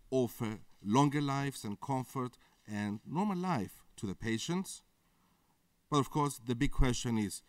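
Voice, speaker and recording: A man whose native language is Greek, giving a measured interview statement with pauses and fillers at a conference. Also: medium pitch, no echo, recorded from a distance. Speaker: conference speaker.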